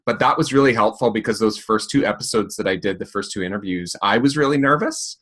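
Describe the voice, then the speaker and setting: A man speaks in a very deep voice, sharing tips in a relaxed panel chat. He talks hesitantly, stopping and restarting his thoughts often. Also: fast. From Canada. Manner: hesitant, many fillers and restarts. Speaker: minister and podcaster. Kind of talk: casual panel discussion.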